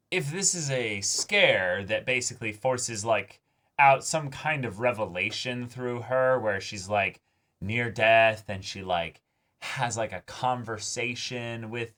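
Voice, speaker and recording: A man co-hosting a reaction show, talking casually and haltingly as he thinks aloud about an anime episode, with emphatic swearing. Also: medium pitch, fairly bright tone.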